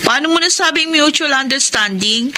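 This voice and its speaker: Nosy, excitable Filipina confidante. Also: female voice, high-pitched, moderate pace. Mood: curious, excited. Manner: exclaiming, inquisitive. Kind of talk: Tagalog radio drama acting. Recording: clear background noise with clicks.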